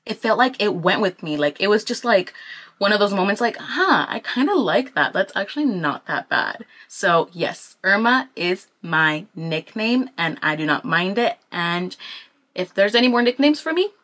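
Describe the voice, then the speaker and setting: A woman's voice: mid-range voice, normal speed. A woman in her twenties with a Southern California accent, chatting informally and with plenty of slang as she vlogs. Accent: Southern California American. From Anaheim, California, United States. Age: 20s. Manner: informal, slangy, animated when quoting people. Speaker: vlogger. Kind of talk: casual chatty get-ready-with-me talk.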